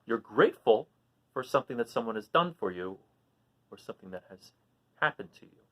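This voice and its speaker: A man hosting a children's read-aloud, expressive as he reads and chats, explaining things simply to young listeners. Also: on the low side, brisk. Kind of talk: animated storybook reading and casual chat to children.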